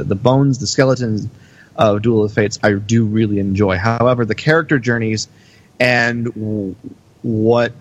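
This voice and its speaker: A man in a casual critical discussion on a podcast, talking in a measured, thoughtful way with some hesitancy. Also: on the low side, muffled recording. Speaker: podcast panelist.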